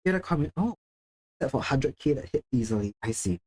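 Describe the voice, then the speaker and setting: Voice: medium pitch, expressive, fast talker, darkish tone. A livestreamer from Singapore chatting casually with viewers, chatty and self-deprecating, swearing now and then. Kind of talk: casual live-stream chat, reading chat.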